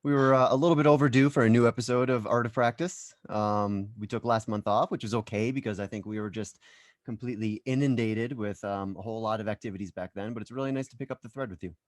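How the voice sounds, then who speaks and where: A man's voice: medium pitch, fast talker, no echo, studio quality. A man hosting a conversation show on a video call, upbeat and enthusiastic, with plenty of ums. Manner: upbeat, enthusiastic, with frequent fillers. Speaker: show host. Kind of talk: casual chat.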